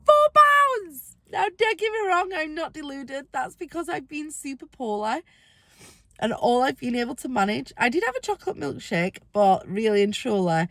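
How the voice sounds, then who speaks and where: Woman vlogger, under the weather with a squeaky voice, casual and chatty, bursts of excitement. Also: normal speed, no room sound. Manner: chatty, excitable, rambling asides. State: ill, squeaky voice. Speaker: weight-loss vlogger. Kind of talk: casual vlog to camera.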